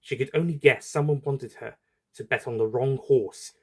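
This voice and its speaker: A man's voice: mid-range voice, moderate pace, no echo. A man reading a scripted audio story as its narrator. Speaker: writer and narrator.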